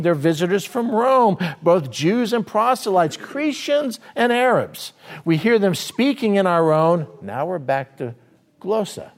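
Animated preaching man, fairly high voice. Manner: animated preaching with sudden swings in pace and loudness. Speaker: pastor. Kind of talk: sermon teaching on scripture.

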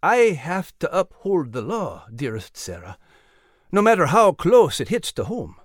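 امرأة تؤدي أبًا رزينًا يلتزم بالواجب. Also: female voice, fairly low pitch, big pitch swings, unhurried, no room sound, clean studio sound. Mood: uneasy, dutiful. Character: measured, dutiful colonial father and sheriff.

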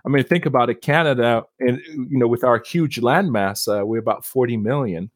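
A man's voice: medium pitch, moderate pace, dry recording, studio quality. A man from Nigeria, a guest on a podcast interview, talking in a friendly, reflective way and laughing easily. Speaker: guest, councillor and author.

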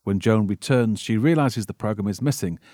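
A man's voice: lowish voice, moderate pace, warm tone, no room sound, clean studio sound. A British man hosting a podcast, reading scripted narration as a wry, theatrical storyteller. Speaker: podcast host.

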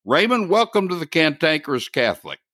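Lively-voiced male podcast host. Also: fairly high pitch, brisk, no echo, clean studio sound. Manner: enthusiastic, warmly welcoming introductions. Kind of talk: podcast interview.

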